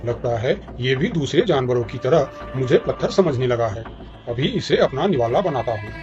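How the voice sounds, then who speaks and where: A man's voice: medium pitch, lively intonation, moderate pace, natural voice. A man voicing a scheming, hungry crocodile villain in a children's cartoon, in a dull recording with music. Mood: gloating. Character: scheming, hungry male crocodile villain, scornful of other animals.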